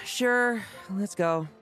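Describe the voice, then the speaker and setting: A man's voice: high voice, drawn-out words, bright, forceful tone, soft background music, exaggerated voice. An actor playing a young, guarded and weary male knight recruit in a video game, with a dry, wry manner. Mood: teasing, resigned.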